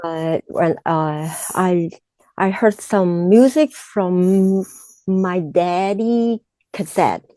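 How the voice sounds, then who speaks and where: A woman with a Cantonese accent speaks slow, broken English, pausing often to find her words. Her voice is soft and warm with a mellow tone. The sound is dry and close, with no room echo. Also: lowish voice. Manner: halting, searching for words in simple English. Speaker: exam candidate. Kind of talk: mock speaking exam answers.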